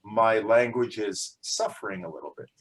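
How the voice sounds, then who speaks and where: A man's voice: lowish voice, moderate pace, a bit bright. A man in his forties, from Canada, answering interview questions in a casual, hesitant way with many fillers and restarts, often laughing. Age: 40s. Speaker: guest.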